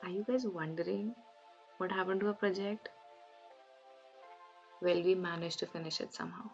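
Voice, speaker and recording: A woman from Delhi, a student in a group role-play, speaks with a fairly low voice. The audio is dull and lo-fi, with faint music behind her. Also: normal speed. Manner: calls the group to order, then speaks to the viewer. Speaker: student group member and narrator.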